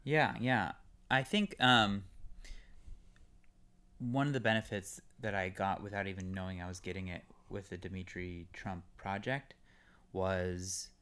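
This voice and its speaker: A young man from California, a guest actor talking casually in an interview, relaxed and rambling with lots of fillers. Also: low-pitched, measured pace, clean tone.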